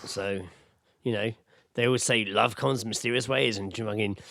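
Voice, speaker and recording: A man's voice: fairly low pitch, steady tone, moderate pace, vocal fry, pushed and bright, no room sound, studio quality. A man co-hosting a podcast in casual, comic banter, with a wry, sweary, interjecting manner. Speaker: podcast co-host.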